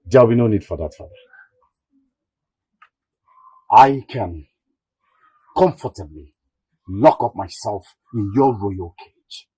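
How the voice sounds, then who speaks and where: An actor with a Nigerian accent playing a proud young prince, defiant and emphatic, in a royal drama. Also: male voice, lowish voice, expressive, a little slow, warm tone, rough recording. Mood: proud, scornful. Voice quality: very husky, raspy. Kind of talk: Nollywood royal drama acting.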